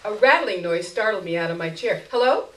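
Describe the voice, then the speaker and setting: Animated woman reading fiction, echoey room. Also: lowish voice, brisk. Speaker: story reader. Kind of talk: expressive live reading of literary fiction. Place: indoor live reading event. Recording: echoey room, miked from a distance.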